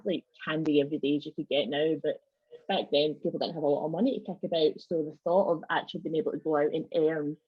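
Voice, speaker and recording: A woman's voice: low voice, slightly rough, no echo. A woman with a Scottish accent giving an informal, wry presentation on folk traditions over a video call. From Scotland. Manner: wry, joking asides. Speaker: club member giving a presentation. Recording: slightly dull video-call audio.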